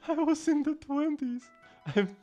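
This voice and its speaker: A man's voice: extremely high pitch, slow pace, warm tone, dull audio, soft background music. A man in his late twenties chatting while he streams a game, rambling with lots of restarts and laughs. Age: late 20s. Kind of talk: casual gaming livestream chat.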